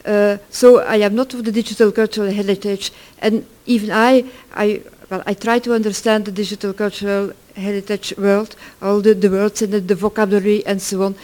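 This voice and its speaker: A middle-aged or older speaker from Belgium, giving an informal conference presentation in halting, self-correcting English. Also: mid-range voice, little pitch movement, darkish tone. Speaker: project work package leader, conference presenter.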